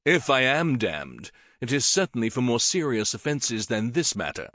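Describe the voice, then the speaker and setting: A man voicing a commanding older king who speaks in a measured, regal way. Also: medium pitch, very animated, fairly bright tone, dry recording, clean studio sound. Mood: persuasive. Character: commanding older king, warm yet persuasive.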